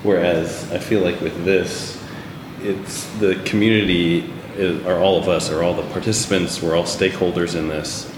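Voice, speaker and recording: A man's voice: low voice, fairly even intonation, normal speed. A man from Texas, an artist, talking earnestly and thoughtfully in a casual group discussion. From Texas, USA. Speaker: artist, guest. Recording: echoey hall with loud room noise.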